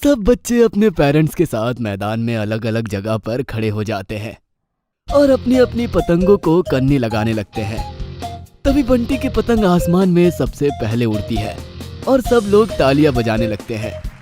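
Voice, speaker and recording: A man narrates a scripted children's story in a mid-range voice. His intonation moves up and down in a lively way, with music clearly behind him. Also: mid-range voice, normal speed.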